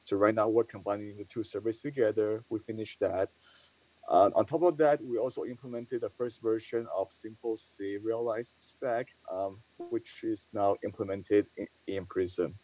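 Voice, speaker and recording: A man runs through his team's progress over a video call. His voice is fairly low and even. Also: normal speed, low-quality recording. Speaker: client developer giving a team update. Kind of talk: status report on a video call.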